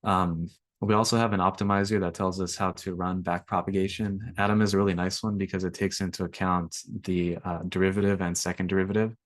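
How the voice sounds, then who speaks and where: A young man, slightly raspy from allergies, walking through a coding workshop in a relaxed way with lots of ums. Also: low-pitched, brisk, dry recording. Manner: relaxed, filler-heavy explaining. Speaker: workshop instructor. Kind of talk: screen-share coding tutorial.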